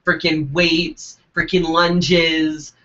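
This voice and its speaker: A stuffed-up, congested man co-hosting a casual live web show, telling a story in a profane, animated way. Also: fairly high pitch, drawn-out words. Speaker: co-host. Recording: dull lo-fi web stream.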